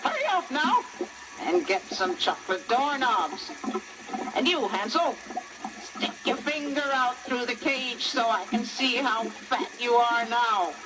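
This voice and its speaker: A woman's voice: a little high, expressive, background noise. An actress playing a scrawny, wicked old witch who gloats greedily with a cackle on a children's story record. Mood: bossy, gleeful. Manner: slow, gloating, menacing delivery.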